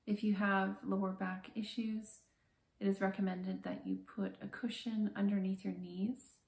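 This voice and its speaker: Woman, meditation guide, gravelly creak, calm measured delivery, soothing pauses, roomy echo. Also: mid-range voice. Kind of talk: guided body scan meditation, slow and soothing.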